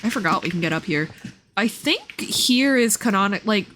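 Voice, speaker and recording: A woman's voice: mid-range voice, expressive, moderate pace, fairly bright tone, slight background noise. A woman live-streaming a game with casual commentary, reacting to the dialogue with playful, sarcastic asides. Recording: game music behind the voice. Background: game music.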